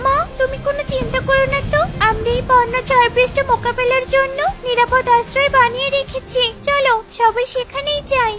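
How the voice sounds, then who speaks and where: A woman as a high-voiced earnest sister bird. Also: flat delivery, brisk, few pauses, loud music behind the voice. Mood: reassuring. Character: wise, caring young sister bird in children's cartoon.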